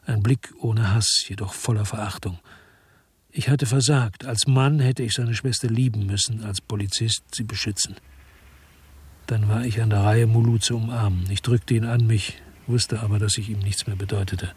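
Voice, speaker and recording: A world-weary policeman narrating a noir radio drama. The voice is very husky and on the low side, recorded extremely dry and close. The delivery is brooding, understated and laconic, heavy with guilt. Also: fairly even intonation, normal speed, darkish tone, no echo. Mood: brooding regret. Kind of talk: radio drama first-person narration and dialogue.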